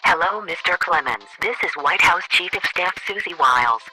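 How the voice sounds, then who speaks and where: A man's voice: high-pitched, animated, moderate pace, rough recording. A man voicing an officious government chief of staff in a formal, scripted comic voicemail.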